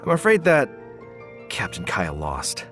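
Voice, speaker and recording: A sighing, gloomy knight. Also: male voice, on the low side, huge pitch swings, a little slow, a bit bright, soft background music, exaggerated voice. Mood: dejected. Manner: sighing, rambling. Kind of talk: video game voice acting.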